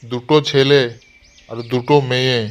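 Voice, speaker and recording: A man's voice: medium pitch, flat delivery, moderate pace, a little hiss or hum, lightly acted. A man voicing a short-tempered, foul-mouthed village uncle in a comedy cartoon, brusque and scolding, with a rural West Bengal Bengali accent. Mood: brusque, impatient. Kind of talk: amateur comedy cartoon dialogue.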